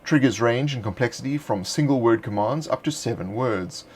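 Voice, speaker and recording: A man narrating a script in a measured, deliberate reading voice. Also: medium pitch, husky. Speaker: narrator of a game supplement.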